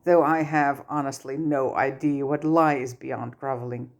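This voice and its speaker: A woman voicing a stern but fond male teacher in a story reading, firm, measured and dryly patient. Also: very deep voice, steady tone, darkish tone, muffled recording. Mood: fond, amused.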